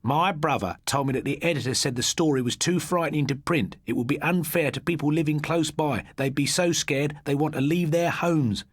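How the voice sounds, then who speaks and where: An excitable schoolboy storyteller, acted out by a male reader, pitched well up and pushing every phrase. He is boastful and dramatic, spinning ghost tales in a dry, close audiobook sound. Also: moderate pace, hardly any pauses, dry recording, natural voice. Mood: insistent. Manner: dramatic, insistent.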